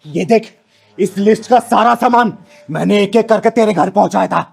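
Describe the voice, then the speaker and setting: A man's voice: sits high, normal speed, vocal fry, a little mellow, husky, heavily acted. A man acting a fierce, protective kinnar elder who scolds, threatens and boasts in a melodramatic short film. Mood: furious. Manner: scolding, threatening, boastful, speaks of self by name. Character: Chingari, fierce kinnar (transgender woman) avenger, protective elder.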